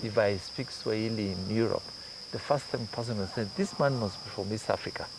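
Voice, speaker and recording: A man's voice: fairly low pitch, brisk, vocal fry, noisy background. Kenyan man explaining ideas thoughtfully. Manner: thoughtful, explains with examples and asides. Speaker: guest journalist. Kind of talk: conversational interview.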